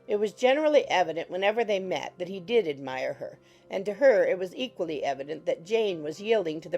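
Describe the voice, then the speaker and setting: Female audiobook narrator: lowish, creaky edge, measured literary storytelling over music. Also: darkish tone.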